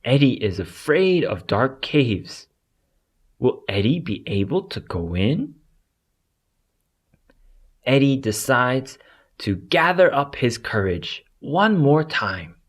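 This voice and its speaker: Slow, animated, husky children's storybook narrator. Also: mid-range voice. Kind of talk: scripted read-aloud for young children.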